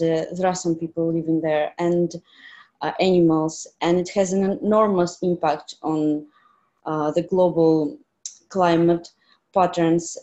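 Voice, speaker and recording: A young woman from Russia presents over a video call. Her voice sits a bit low, and she pauses often with fillers. Her English carries non-native phrasing. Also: warm tone. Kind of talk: online conference talk.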